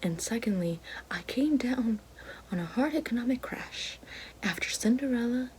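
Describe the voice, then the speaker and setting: A woman playing a bitter, sassy fallen evil fairy working a help line, in a breathy whispered ASMR roleplay with slangy sarcasm. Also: animated, moderate pace, slight background noise, lightly acted, poor audio. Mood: sassy, defensive. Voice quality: breathy whisper mixed with soft low speech.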